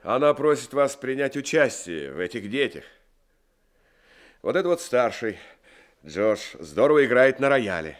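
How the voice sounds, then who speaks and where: An actor playing a kind, working-class truck driver in an old radio play, friendly and deferential, with some hesitation. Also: male voice, medium pitch, very animated, unhurried, pauses often. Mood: pleading.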